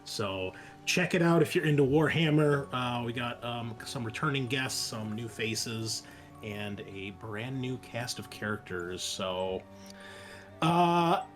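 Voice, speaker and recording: A man's voice: mid-range voice, lively intonation, slow, faint music behind. A man hosting a show, giving a chatty, informal introduction in a casual style. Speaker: podcast host.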